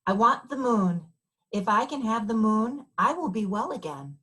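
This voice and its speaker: Husky, high little princess, acted by a woman. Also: animated, normal speed, fairly bright tone, low-quality recording. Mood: wistful. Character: ailing ten-year-old princess, wanting and demanding. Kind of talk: readers theater story acting.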